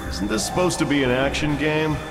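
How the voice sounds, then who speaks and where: A man's voice: a little high, brisk, natural voice. Man playing a cocky executioner in echoing reverb. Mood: flippant. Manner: dry, flippant, menacing. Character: cocky, wisecracking male executioner, cool action hero. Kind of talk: video game hero voice acting. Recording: echoey reverb, music behind.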